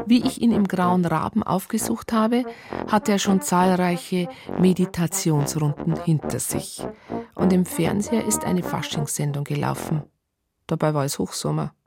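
An actress playing a detective's colleague who narrates a radio drama wryly. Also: female voice, lowish voice, gravelly. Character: dry, matter-of-fact female colleague narrating a detective story.

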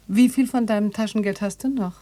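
A woman's voice: mid-range voice, a little fast, warm tone, lightly acted. A woman playing a middle-aged, composed, sceptical yet indulgent mother in a German literary radio play. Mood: mildly stern.

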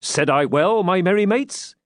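Man playing an animated, commanding outlaw captain. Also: high-pitched, a little slow, no room sound, clean studio sound, pitched above the performer's own voice. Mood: brisk command. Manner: grave, commanding, archaic speech. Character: bold, plain-spoken, generous English outlaw captain, male.

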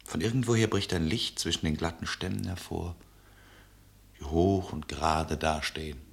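Low-voiced, poetic traveller telling tales. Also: male voice, fairly even intonation, moderate pace, gravelly. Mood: lyrical, awed. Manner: lyrical, solemn storytelling. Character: male traveller, tender poetic storyteller. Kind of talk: literary radio play monologue.

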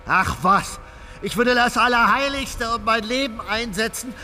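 An actor playing a reckless, broke compulsive gambler in a German radio drama, with an insistent, swaggering manner. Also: male voice, very high voice, measured pace, bright, forceful tone, full character voice. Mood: restless, confiding. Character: reckless, broke, compulsive gambler man, feverishly pushing for bets.

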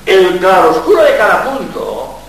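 An older man, a Jesuit priest from Spain, gives a lively public lecture full of jokes and rhetorical questions. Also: high-pitched, measured pace, vocal fry. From Spain, born in Barcelona. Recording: very echoey hall, mic far off.

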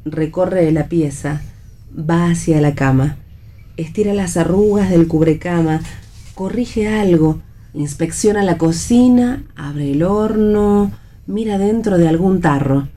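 A woman with an Argentine accent reading scripted stage directions for a radio play in a measured, descriptive manner. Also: lowish voice, warm tone.

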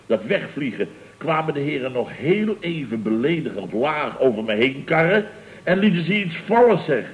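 Pompous, swooping, indignant boss. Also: male voice, on the high side, very animated, normal speed. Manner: pompous, self-justifying, theatrical storytelling. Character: blustering, indignant male boss of a building firm. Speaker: lead actor in radio comedy serial. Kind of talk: 1970s Dutch radio comedy acting. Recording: vintage radio broadcast recording.

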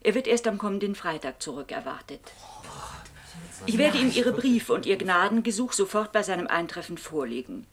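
An actress in a German radio play playing a formal, impersonal secretary reading an official letter, businesslike and precise. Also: female voice, medium pitch, big pitch swings, moderate pace, close to an everyday voice.

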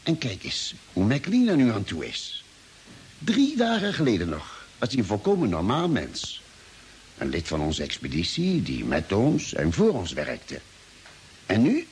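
A thoughtful male expedition doctor in an old radio play. He reasons things out deliberately, pausing mid-sentence, with a lively rise and fall in pitch. The recording carries a light hiss. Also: full character voice. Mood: urgent, explaining. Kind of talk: 1950s-style radio drama acting.

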